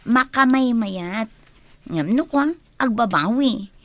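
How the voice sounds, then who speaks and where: Eager high-voiced young village friend. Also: female voice, expressive, takes it slowly, no echo. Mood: polite, coaxing. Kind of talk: radio drama acting.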